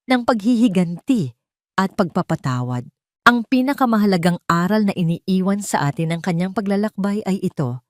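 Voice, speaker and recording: A woman's voice: mid-range voice, darkish tone, no echo, studio quality. A woman narrating a scripted story in an earnest, sentimental manner. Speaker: storyteller and host of a story channel.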